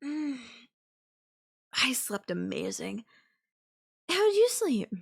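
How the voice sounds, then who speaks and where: A woman voice actor playing a possessive yandere girlfriend in an intimate audio roleplay. She talks very slowly and coyly, with hesitant hums and pauses, her pitch swinging in a lively way as she feigns sweetness. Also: vocal fry, husky, exaggerated voice. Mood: sweet, coy. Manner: hesitant, drawn-out, coy delivery with hums and pauses. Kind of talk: girlfriend audio roleplay, one side of a call.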